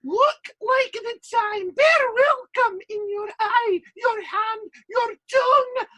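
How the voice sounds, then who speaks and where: Exasperated stage manager and playwright: very high, bright, declamatory, theatrical audio drama. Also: male voice, takes it slowly, exaggerated voice. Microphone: video call recording.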